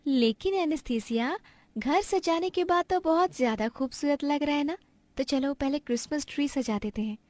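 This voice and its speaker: Woman acting gentle young daughter-in-law, cartoon drama, raised pitch, polite, soothing, dry recording. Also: normal speed, no room sound, close to an everyday voice. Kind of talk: cartoon story dialogue.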